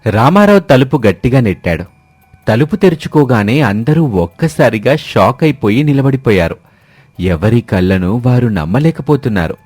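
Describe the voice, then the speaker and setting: A man's voice: mid-range voice, lively intonation, moderate pace, a little mellow, husky, no room sound. A man narrating a scripted moral story as a storyteller.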